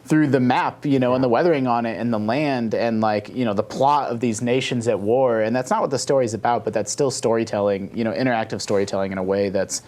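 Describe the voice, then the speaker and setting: A man's voice: medium pitch, quick pace, hardly any pauses, a little mellow. A man talking casually and earnestly as a podcast panelist, explaining his opinions at length.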